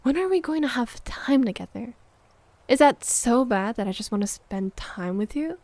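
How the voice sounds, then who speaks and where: A fairly high voice in a very dry recording, a woman acting out a needy, wounded girlfriend. She is accusing and emotional, shifting from pointed reproach to breathy, hushed murmurs in an intimate roleplay monologue. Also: expressive, moderate pace, no echo. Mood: reproachful, pleading. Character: hurt, needy, accusing live-in girlfriend waiting up late.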